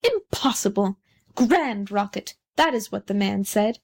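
A woman's voice: medium pitch, animated, measured pace, gravelly, darkish tone. A woman voicing a vain, pompous, supercilious male firework rocket in a storybook reading. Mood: haughty.

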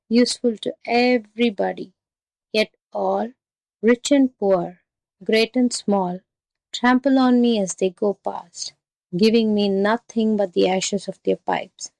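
A woman voicing a stern talking road in a storybook, speaking with measured, put-upon reproach. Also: mid-range voice, fairly even intonation, gravelly, a little mellow, dry recording. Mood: reproachful.

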